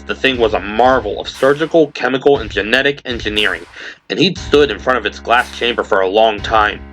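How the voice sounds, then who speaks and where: A man giving dramatic scripted narration for an audio drama, with deliberate, suspenseful phrasing. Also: lowish voice, bright, forceful tone, rough recording.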